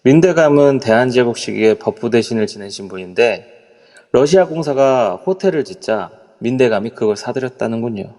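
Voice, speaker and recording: A man's voice: mid-range voice, expressive, fairly quick, darkish tone, in a large hall, natural voice. A man playing a nervous hotel executive. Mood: explaining. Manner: polite, hesitant, trailing off when uneasy. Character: nervous middle-aged male hotel executive. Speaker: voice actor in a live amateur audio drama.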